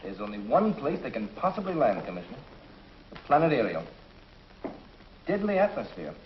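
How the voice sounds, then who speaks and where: A man's voice: mid-range voice, very animated, normal speed, lightly acted. A man playing an urgent space officer. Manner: urgent, insistent. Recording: muffled old TV soundtrack with faint hiss.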